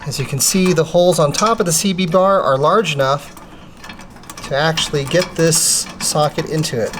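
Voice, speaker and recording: A man's voice: fairly high pitch, moderate pace, background noise. A man presenting a methodical, step-by-step hands-on installation tutorial for a product he made. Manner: methodical, explanatory. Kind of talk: step-by-step hands-on how-to demonstration.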